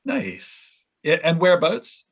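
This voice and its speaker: A man hosting a casual discussion and putting questions to guests in a jocular, halting way. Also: on the high side, sing-song, gravelly, breathy edge. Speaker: discussion host. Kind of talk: casual chat, interviewing guests.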